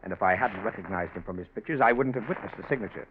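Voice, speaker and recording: An American man playing a formal, deliberate detective and lawyer in a 1940s radio drama, on a tinny, hissy recording. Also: on the low side, close to an everyday voice. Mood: businesslike. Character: detective and attorney, cool, insinuating, occasionally flustered man.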